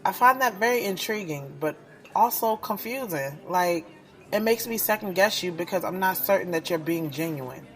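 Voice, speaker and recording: A woman's voice: lowish voice, expressive, moderate pace, lightly acted, poor audio. An actress playing a guarded, skeptical young woman poet in an audio drama, speaking in a candid, conversational, street-smart way. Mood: searching, doubtful. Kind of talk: audio drama dialogue and first-person narration. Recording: music playing behind the voice.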